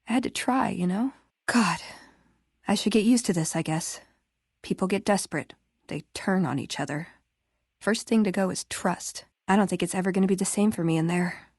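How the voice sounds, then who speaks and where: Game companion role: actress as young American woman, sardonic and friendly, conversational, mid-pitched, close dry studio sound. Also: a little mellow, no echo, close to an everyday voice. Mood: regretful, subdued. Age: young adult. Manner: sardonic, playful, casual. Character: wry, friendly young woman scribe in a wasteland. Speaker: video game companion character. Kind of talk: scripted game dialogue, conversational.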